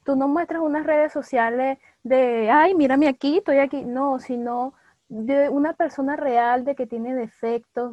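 A woman with a Venezuelan accent hosts a casual interview. She is informal and supportive, with a voice a little higher than most. The sound is very dry and slightly muffled, lacking some crispness in the top end. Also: dry recording. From Valencia, Venezuela.

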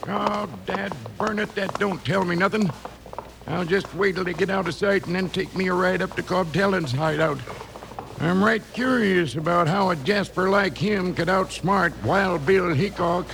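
An actor playing a crusty, nosy old bridge keeper with a cracked voice and grumbling backwoods talk in a radio western. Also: male voice, high voice, moderate pace. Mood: grumbling, curious. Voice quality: cracked, creaky old-timer voice. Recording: noisy old radio recording.

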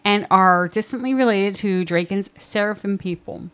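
A woman in her thirties with a deeper voice, co-hosting a podcast and recapping book chapters in casual, giggly chat that often trails off. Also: normal speed, fairly bright tone, clean tone. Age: 30s. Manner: giggly, chatty, often trails off mid-sentence. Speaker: podcast co-host.

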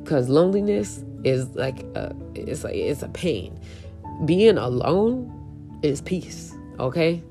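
A woman podcast host with a raspy voice, talking casually and emphatically, repeating key points. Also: low voice, sing-song, takes it slowly, warm tone, soft background music. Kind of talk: casual solo podcast talk.

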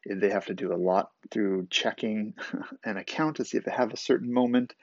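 A raspy man talks through his solo podcast with a low, gravelly voice. He sounds groggy and rambles a little, with fillers and restarts. The sound is dry and a bit dull. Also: fairly even intonation, fairly quick, no room sound. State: groggy after a vaccine. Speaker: podcast host. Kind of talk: casual solo podcast talk.

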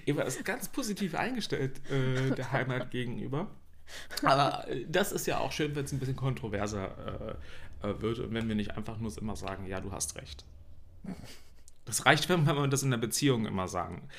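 Male podcast co-host, 30s, from East Berlin; relaxed, halting conversational delivery. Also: medium pitch. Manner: hesitant, many fillers. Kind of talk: casual chat.

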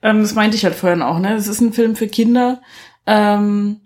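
A woman's voice: mid-range voice, moderate pace, a little mellow. Female podcast co-host, thirties, mid-range voice, informal review talk. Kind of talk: casual film review chat.

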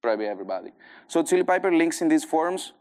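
Man, strong Greek accent, conference talk, smooth resonant voice, jet-lagged, chatty with fillers. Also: fairly high pitch, big pitch swings, fast, darkish tone. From Greece. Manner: conversational, filler-heavy presenting. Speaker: conference speaker.

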